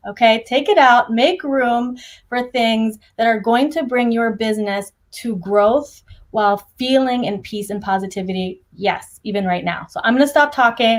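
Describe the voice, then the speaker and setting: A young woman hosting a livestream interview, chatty and energetic, talking over a video call. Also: on the high side. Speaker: host.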